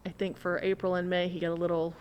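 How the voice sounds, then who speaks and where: A woman's voice: fairly low pitch, level tone, quick pace, darkish tone, a little hiss or hum. A woman telling a story in casual conversation as a podcast guest, animatedly acting out what people said.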